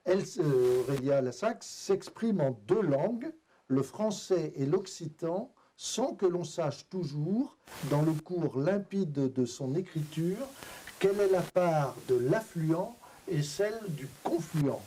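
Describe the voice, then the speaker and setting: A man's voice: on the high side, expressive, slow, dark tone, a little hiss or hum, poor audio. A middle-aged man moderating a live round-table, speaking hesitantly with many fillers.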